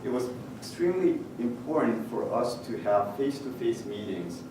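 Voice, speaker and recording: A middle-aged Japanese man speaking English with a Japanese accent, giving a measured technical conference talk with frequent "uh" pauses and a touch of dry humor. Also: medium pitch, expressive. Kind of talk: presentation talk with slides. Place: large conference hall. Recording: echoey hall picked up from far off with noise.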